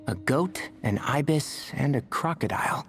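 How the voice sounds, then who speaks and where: A young botanist game character, dry and sensible but lots of up and down, quiet music under it. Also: male voice, on the high side, animated, unhurried. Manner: dry, matter-of-fact. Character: young male botanist, sensible, dry, mildly exasperated, inquisitive. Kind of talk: video game voice acting. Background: soft game music.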